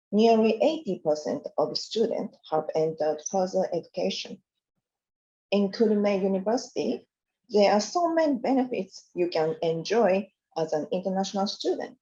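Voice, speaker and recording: Woman presenting school info from slides, formal and careful, mellow tone, kinda dull lo-fi audio. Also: mid-range voice. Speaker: university presenter. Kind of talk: scripted presentation. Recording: dull lo-fi sound.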